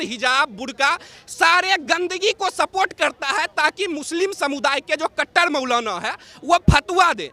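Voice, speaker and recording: A man's voice: extremely high pitch, sharp tone. A man making an impassioned political argument in a street debate, combative and full of rhetorical questions. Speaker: member of the public in a street debate.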